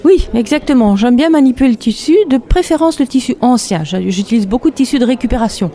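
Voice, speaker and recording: Woman, mellow voice, light laughs. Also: a little high, quick pace. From Belgium. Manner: relaxed, laughs often, hesitant fillers. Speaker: textile artist. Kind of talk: casual interview.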